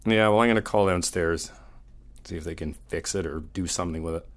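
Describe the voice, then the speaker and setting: A man playing an easygoing, flirty hotel guest in an audio roleplay, chatting casually and haltingly in one-sided phone talk. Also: low voice. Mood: casual, weary. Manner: casual, halting, slangy, swearing.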